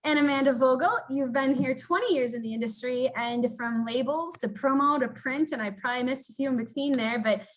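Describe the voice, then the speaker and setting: A woman's voice: high voice, brisk, hardly any pauses, low-quality recording. A woman hosting an online business webinar, upbeat and chatty with fillers, heard over a video call. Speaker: webinar host.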